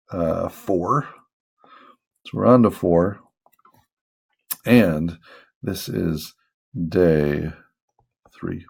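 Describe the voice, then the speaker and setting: A man's voice: lowish voice, gravelly, a little mellow. A man casually talking to viewers while he draws, rambling and self-deprecating, with a soothing voice and many fillers. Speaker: hobby artist vlogger. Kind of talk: casual talk while drawing.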